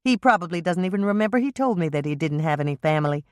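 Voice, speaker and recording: A hesitant, earnest woman confiding a worry , voiced by a woman reading a storybook. Her pitch rises and falls as she speaks. The read is dry and close. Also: expressive, quick pace, darkish tone, dry recording, close to an everyday voice.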